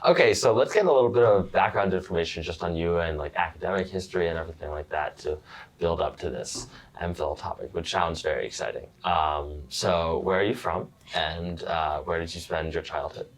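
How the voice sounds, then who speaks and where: This is a very low-pitched man hosting a casual academic interview. He is chatty and self-deprecating, laughs easily, and keeps the talk loose as he asks his guest about her studies. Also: fairly quick, low-quality recording. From United States. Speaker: podcast host, graduate student.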